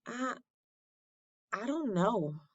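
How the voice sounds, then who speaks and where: A woman's voice: a little high, very animated, very slow, slightly rough, dry recording, highs cut off, voice pushed up, full character voice. A woman reading the part of an earnest, fair-minded third-grade boy in a storybook, thoughtful and sincere. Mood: uneasy.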